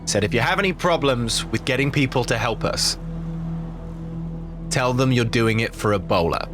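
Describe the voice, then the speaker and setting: A male tabletop player chats and role-plays casually. His medium-pitched voice moves around in a lively way, with music clearly behind it. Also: normal speed. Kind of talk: casual in-game chat and role-play.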